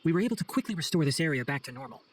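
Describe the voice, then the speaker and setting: A man performs an earnest young forest ranger in a game cutscene. The voice sits fairly high for a male and moves quickly, with formal, articulate phrasing and a composed, scholarly manner. Music plays behind it. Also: expressive, natural voice. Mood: earnest, grave. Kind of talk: video game cutscene voice acting.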